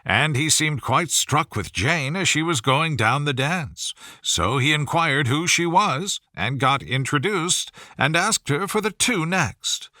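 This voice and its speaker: A man voicing an excitable, gossipy middle-aged wife and mother in a storybook reading, effusive and exclamatory as she rattles on. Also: on the high side, takes it slowly, hardly any pauses, dry recording, clean studio sound, exaggerated voice. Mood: gushing, excited.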